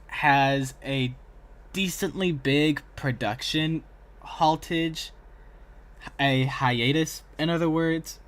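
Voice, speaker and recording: A young man talks casually on a livestream. His voice sits at an average pitch, and he rambles in a chatty way as he reacts and addresses his chat. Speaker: live streamer reacting to videos.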